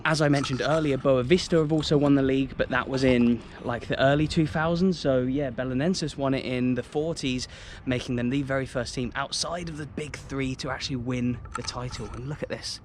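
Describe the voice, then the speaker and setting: A man's voice: medium pitch, a little hiss or hum. An English man filming a football travel vlog, walking round a stadium outdoors and exclaiming about it to a handheld camera. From England. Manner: enthusiastic, exclaims often.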